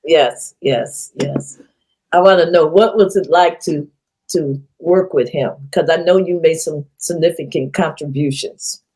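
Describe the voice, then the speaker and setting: A woman hosts an interview, putting questions to her guest in a halting, restarting way. Her voice sits fairly low. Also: dark tone, breathy edge, rough recording. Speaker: interview host.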